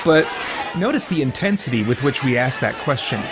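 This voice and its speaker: A man hosting a let's play, giving halting, thoughtful philosophical commentary over noisy game ambience. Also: mid-range voice, lively intonation, over music, crowd noise.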